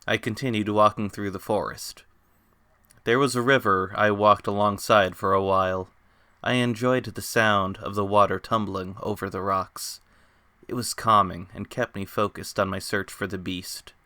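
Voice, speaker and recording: Male story narrator for dramatic fan fiction: fairly low, bright and forceful, recorded dry and close. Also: steady tone, moderate pace, no room sound. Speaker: author reading own story.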